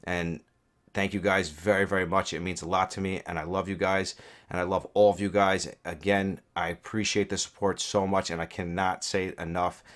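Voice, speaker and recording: A man's voice: low-pitched, flat delivery, normal speed, fairly bright tone. A young man with a stuffed-up, scratchy voice, talking casually through a game guide with earnest, rambling asides and many fillers. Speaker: gaming YouTuber.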